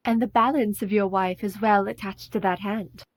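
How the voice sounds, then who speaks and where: A woman's voice: medium pitch, fairly even intonation, normal speed, no room sound, natural voice. A woman playing a steadfast, devoted young Victorian bride in a radio play, formal and tender. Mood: gently teasing.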